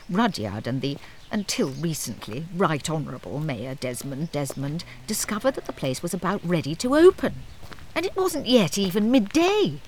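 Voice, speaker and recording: A woman playing the narrator of a comic audio drama, measured, arch and mock-grand. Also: low voice, darkish tone, a little hiss or hum, rough recording. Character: wry storybook-like narrator of village comedy.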